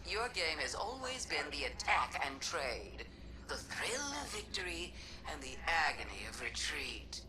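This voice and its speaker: A woman playing a scheming alien witch queen in a game cutscene, taunting and philosophical in a measured, theatrical, breathy delivery, with music underneath. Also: low voice, big pitch swings, background noise. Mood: grave, musing. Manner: measured, theatrical, drawn-out musing. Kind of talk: scripted game cutscene monologue.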